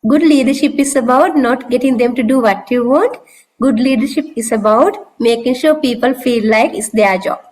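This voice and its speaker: A woman with a fairly high voice walks through a course outline for an online class. She talks in a loose, conversational way and fills gaps with casual asides. She comes through over a video call. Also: moderate pace, darkish tone, a little hiss or hum, poor audio. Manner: conversational, loose with fillers like "you know, like". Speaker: course instructor. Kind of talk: online course orientation talk.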